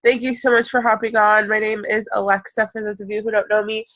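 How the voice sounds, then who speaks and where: A young woman with a stuffed-up, congested voice from a cold talks in a bright, forward tone. She chats and reassures like a fitness coach on a live call, with plenty of ums along the way. The sound is dry and close, with almost no room. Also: medium pitch, flat delivery, a little fast, no echo. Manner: chatty, reassuring, many ums and filler. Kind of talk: casual live video call.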